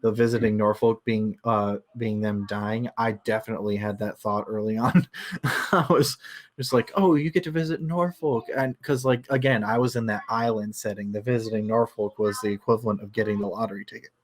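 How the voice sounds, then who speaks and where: A man's voice: lowish voice, brisk. A man in a casual livestream book discussion, explaining things analytically and feeling his way through his thoughts.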